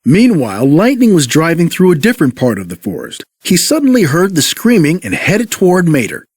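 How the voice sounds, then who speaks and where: Male children's story narrator, slightly high, very lively pitch, scripted read-along delivery. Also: fairly quick, slightly rough, no echo, clean studio sound.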